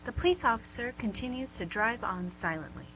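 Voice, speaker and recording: A woman's voice: on the high side, expressive, fairly quick, fairly bright tone, rough recording. A woman reading scripted narration and stage directions for a radio play. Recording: hum and clear background noise.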